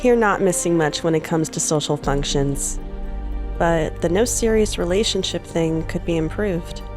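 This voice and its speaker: A woman's voice: on the low side, normal speed, darkish tone, soft background music, lightly acted. A woman playing a caring, anxious but defiant companion in an audio drama, speaking conversationally. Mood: anxious, candid.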